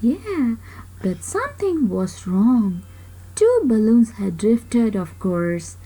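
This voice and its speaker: A woman reading a children's story aloud in an expressive way, with dramatic pauses and emphasis. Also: fairly high pitch, breathy edge, low-quality recording.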